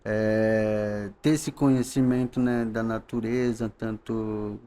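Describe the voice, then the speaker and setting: A man from the coast of São Paulo, Brazil, speaking as a podcast guest in a calm, halting way, often ending phrases with tag questions. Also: on the low side. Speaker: guest, knowledge keeper. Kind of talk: podcast interview.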